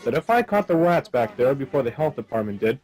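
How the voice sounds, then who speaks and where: An actor playing a flippant, absent-minded hard-boiled detective in a radio play, with a deadpan, wisecracking delivery. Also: male voice, medium pitch, brisk, close to an everyday voice, rough recording. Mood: wry, deadpan. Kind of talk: noir radio play narration and dialogue.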